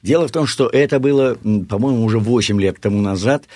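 A male actor and singer from Omsk, singing slowly to a guitar, then telling stories quickly on a talk show. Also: medium pitch, dry recording, studio quality. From Omsk, Russia. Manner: sings slowly and warmly, then tells anecdotes quickly with repeats.

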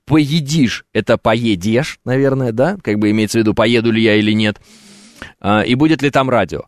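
A man's voice: medium pitch, a little fast, dry recording, studio quality. A man reading his live chat aloud and answering it, wry and joking, with many hesitant fillers. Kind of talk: casual live stream chat. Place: at home, on camera.

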